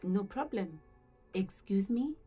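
Soft-toned hesitant gardener lady from a video game, mellow, music behind her. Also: mid-range voice, lively intonation, slow, breathy edge. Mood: reserved, hesitant. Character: reserved working-class female gardener, uneasy, politely hesitant. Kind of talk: video game dialogue acting.

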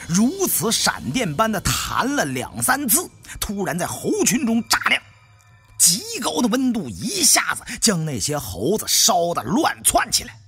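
A man narrating an adventure story in a dramatic, expressive storytelling manner. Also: high-pitched, a little slow, soft background music. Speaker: audiobook narrator.

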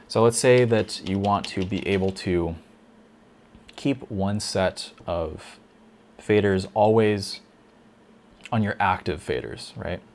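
A man teaching a lighting console in a casual tutorial, thinking aloud with fillers, a little hoarse. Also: low-pitched, slight background noise. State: slightly hoarse, losing his voice. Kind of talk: casual screen-recorded tutorial.

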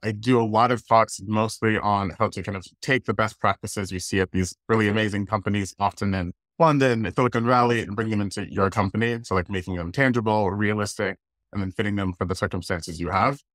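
American male speaker, thirties, lower register, conversational presenter, very dry recording. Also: steady tone, a little fast, fairly bright tone, no room sound. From Washington, D.C., United States. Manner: casual, conversational. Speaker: guest speaker, design leader. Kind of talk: informal online presentation. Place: indoors, plain room on a video call.

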